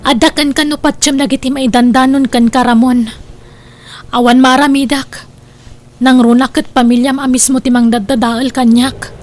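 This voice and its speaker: A woman's voice: sits high, fairly even intonation, moderate pace, a little mellow, faint music behind, lightly acted. A woman with an Ilocano accent playing a wronged girlfriend in an audio drama, pleading her case in a plaintive, emphatic way. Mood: hurt, indignant. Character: wronged, hurt girlfriend defending herself.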